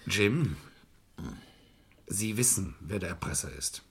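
An actor playing a probing, persuasive defence lawyer in a 1970s German radio crime drama, husky-voiced, with a measured and polite delivery. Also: male voice, low voice, expressive, fairly bright tone, heavily acted.

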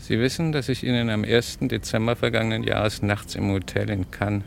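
An actor playing a cunning, smug blackmailer in a vintage German radio crime drama, with a gravelly, insistent, taunting delivery. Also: male voice, on the low side, steady tone, brisk. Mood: smug, gloating. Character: cunning, smug male blackmailer and hotel thief. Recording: noisy old radio drama recording.

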